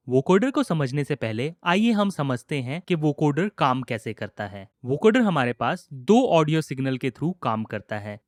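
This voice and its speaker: Indian guy doing a software tutorial voiceover, bit high voice, really dry sound, step by step. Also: dry recording. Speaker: audio software instructor.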